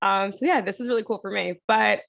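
A woman's voice: medium pitch, brisk, vocal fry, fairly bright tone. A woman in her twenties hosting a podcast, upbeat and chatty, often saying "like". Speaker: podcast host. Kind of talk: casual interview chat.

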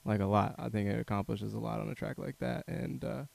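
A man hosting a casual interview, relaxed and encouraging, with a lot of "like" in his speech. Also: lowish voice, fairly quick, vocal fry, dry recording. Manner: relaxed, affirming, uses "like" and "man". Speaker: interview host.

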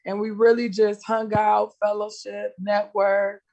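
A woman small business owner answering interview questions over a video call, friendly and upbeat, her voice a little raspy. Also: medium pitch, flat delivery, measured pace, darkish tone. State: slightly raspy voice.

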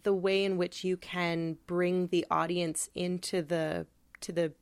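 A woman's voice: fairly low pitch, flat delivery, unhurried, dry recording. A woman co-hosting a podcast, speaking casually and thoughtfully, feeling out her phrasing as she discusses. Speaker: podcast co-host. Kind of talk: casual conversational literary discussion.

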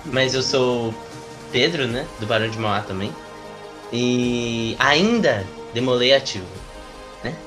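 A young Brazilian man, a podcast guest chatting casually in a hesitant, halting manner. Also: medium pitch, music in the background. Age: young adult. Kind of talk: casual chat.

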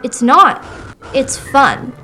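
A woman's voice: high voice, animated, very slow, sharp tone, soft background music, full character voice, low-quality recording. A woman playing a cheeky young girl hooked on video games in a radio play, whiny and defiant.

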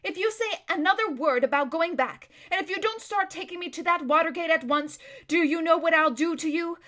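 A woman voicing a brave, impatient, sharp-tongued runaway noble girl in a storybook, speaking with firm urgency. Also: very high voice, a little fast, voice pushed up. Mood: fierce, threatening.

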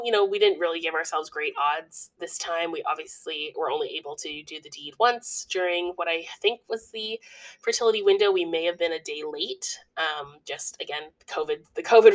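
Young woman podcaster, stuffy nose, lowish voice, rambly chatty, laughs a lot. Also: a bit bright, poor audio. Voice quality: chatty, laughs often, many fillers. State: congested from illness. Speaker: podcast host. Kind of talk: casual solo podcast chat.

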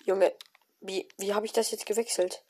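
A woman with a cold, giving casual, excited commentary on her own gameplay with many fillers and exclamations. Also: mid-range voice, moderate pace, slight background noise. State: has a cold. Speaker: gaming video creator. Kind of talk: casual let's-play commentary.

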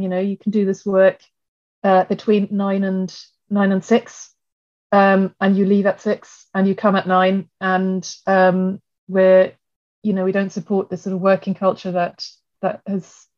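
A woman's voice: medium pitch, a little mellow, lo-fi sound. A woman architect who grew up in Germany, answering interview questions in a thoughtful way, often restarting her sentences. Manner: thoughtful, many restarts and fillers. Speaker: architect and guest.

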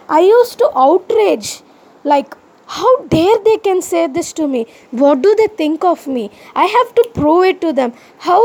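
High, gravelly-voiced indignant Indian woman. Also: warm tone. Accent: Indian English. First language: Indian language other than Hindi. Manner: impassioned, indignant venting with rhetorical questions. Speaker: podcaster. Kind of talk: personal monologue to the audience.

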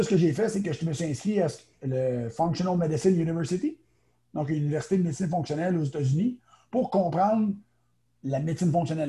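Quebec French male guest, naturopath, casual, higher voice, very warm tone. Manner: chatty, quick, many fillers and restarts. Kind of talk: casual interview talk.

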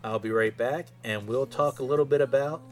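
A man's voice: mid-range voice, brisk, soft background music. A man hosting a fan podcast solo, chatting in an informal, conversational way. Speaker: podcast host.

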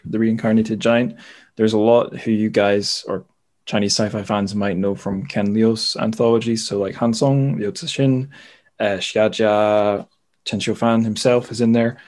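Low-voiced man chatting casually. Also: darkish tone. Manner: informal, rambling, self-deprecating. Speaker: podcast host. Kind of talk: casual book chat.

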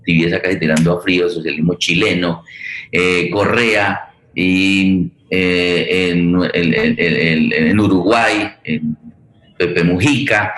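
A Colombian man with a very low voice hosts a discussion in a conversational way. He often repeats himself while searching for words. The sound has a strong room echo. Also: a bit bright. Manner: halting, searching for words with repetitions. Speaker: forum host. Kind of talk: conversational political and cultural discussion.

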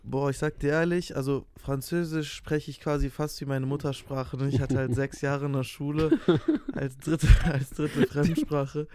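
A man's voice: a little high, fairly even intonation, moderate pace, hardly any pauses, darkish tone, smooth, no echo. A young man co-hosting a casual podcast, joking and playfully swearing at his friend. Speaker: podcast co-host. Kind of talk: casual chat. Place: in Hannover.

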